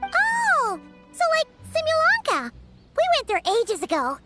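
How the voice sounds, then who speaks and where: An extremely high, squeaky fairy girl for an anime-style video game. She is childlike, cheeky and curious, swooping chirpily through her phrases. Loud, playful music sits behind her. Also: wildly swooping pitch, unhurried, exaggerated voice. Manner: refers to self in third person, chirpy.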